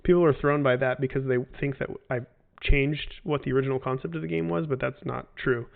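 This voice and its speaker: 40ish guy rambling thru fan questions, lots of ums, gravelly voice, sounds like a phone. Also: mid-range voice, steady tone, fairly quick. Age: 40s. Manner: rambling, hesitant with many fillers and restarts. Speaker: game developer answering fan questions. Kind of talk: casual livestream Q&A. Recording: tinny phone-like audio.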